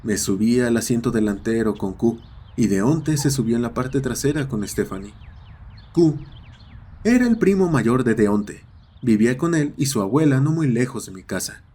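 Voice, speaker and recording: A man's voice: medium pitch, animated, moderate pace, dark tone, breathy edge. A man narrating a scripted horror story. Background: ambient sound effects.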